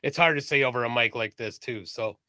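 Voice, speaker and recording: A man's voice: mid-range voice, a little fast, bright, forceful tone, no echo, clean studio sound. A man co-hosting a casual live-streamed podcast, chatty and enthusiastic about music, swearing casually. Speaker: podcast co-host.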